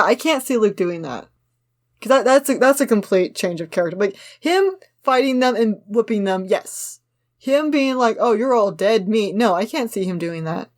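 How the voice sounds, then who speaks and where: Opinionated woman in casual discussion. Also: mid-range voice. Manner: opinionated, argumentative. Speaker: podcast co-host. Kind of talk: casual discussion, reading a script aloud with commentary.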